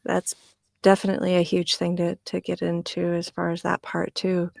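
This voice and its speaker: Woman, lowish gravelly voice, dry sound, earnest stream talk. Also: completely flat delivery, moderate pace, darkish tone, no echo. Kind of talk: casual chat. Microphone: video call.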